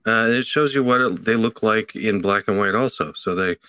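A middle-aged man co-hosting a podcast, explaining a tech item in a halting way with many fillers, from a home office on a video call. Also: fairly low pitch, bright, forceful tone, clear, resonant tone, dry recording. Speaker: podcast co-host. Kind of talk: casual tech chat.

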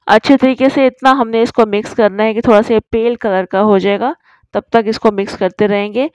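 Woman, slightly high pitch, step-by-step recipe narration, instructive, close dry recording. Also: fairly even intonation, brisk, a little mellow, no echo. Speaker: cooking channel host. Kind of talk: recipe tutorial voice-over.

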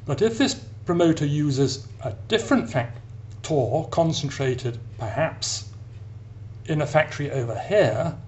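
A man giving an explanatory science narration as an academic lecturer, in a measured, deliberate manner with pauses between phrases. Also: on the high side, expressive, darkish tone. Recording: clear background hiss and hum.

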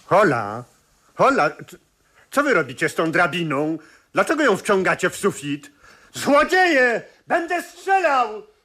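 A man's voice: high-pitched, sing-song, measured pace, pushed and bright, heavily acted. An actor playing an officious, suspicious police commissioner in a 1970s radio play, emphatic and slightly stumbling over his words. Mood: alarmed, shouting.

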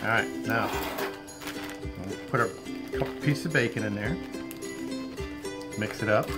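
A man's voice: lowish voice, a little slow. Man in his fifties, laid-back camp-cooking host, patio camera sound, music underneath. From Florida. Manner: relaxed, chatty, lots of "all right" fillers. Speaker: outdoor cooking channel host. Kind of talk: casual cooking demo. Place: outdoor covered patio at a table.

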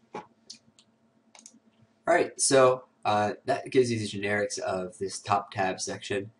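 A man with a raspy, cold-roughened voice, sniffling now and then, hosting a casual screen-recorded software tutorial full of "uh" and "um". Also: lowish voice, slow, far from the mic. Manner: casual, filler-heavy walkthrough with many "uh" and "um". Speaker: host of a security tutorial show.

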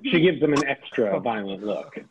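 A man with a husky voice reading out stage directions as scripted narration at a table read. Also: mid-range voice, big pitch swings, normal speed, lo-fi sound, a crowd in the background.

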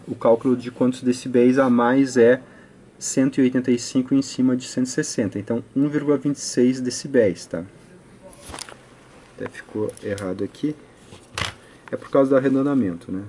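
A man's voice: mid-range voice, warm tone, slight background noise. A man with a Brazilian accent giving an informal technical tutorial, explaining hesitantly step by step with frequent check-in tags. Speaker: host of an audio electronics channel. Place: indoors at a work table.

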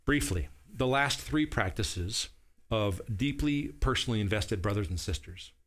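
A man's voice: fairly low pitch, expressive, measured pace, fairly bright tone. A man in his forties preaching at a table in a small studio. He explains his verses one by one in an earnest teaching tone and now and then checks that his listeners are following. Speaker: pastor. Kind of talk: sermon. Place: small studio set with a wooden wall. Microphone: seated at a table, camera at medium distance.

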